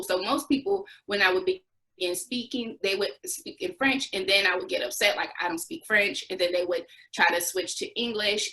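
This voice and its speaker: A woman's voice: lowish voice, sharp tone, poor audio. A young adult American woman speaking as an interview guest, telling her experiences conversationally and acting out bits of dialogue. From United States. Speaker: interview guest, former Peace Corps volunteer.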